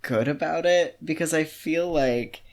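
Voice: medium pitch, measured pace. A podcast co-host in a casual book discussion, talking in an earnest, opinionated way and saying "like" a lot. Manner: earnest, opinionated, heavy use of "like".

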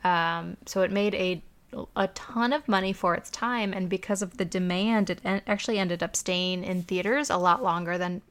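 A woman's voice: fairly low pitch, normal speed, a bit bright. A woman hosting a podcast, recounting a story in casual chat, full of "um"s and "like"s. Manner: chatty storytelling with many "um"s and "like"s. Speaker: podcast host.

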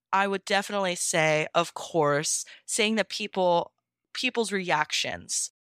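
A woman with a clear, smooth and lowish voice answers interview questions. Her delivery is casual, earnest and reflective. The recording is tight and dry, without any room sound. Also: sharp tone, dry recording. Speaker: interview guest, amateur audiobook-style fan fiction reader.

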